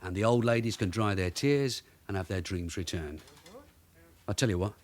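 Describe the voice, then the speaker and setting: An actor playing a shrewd, hard-nosed long-serving police detective sergeant in a radio drama, matter-of-fact and dryly authoritative. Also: male voice, sits low, moderate pace, close to an everyday voice. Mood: dry, confident. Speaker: actor in the lead role.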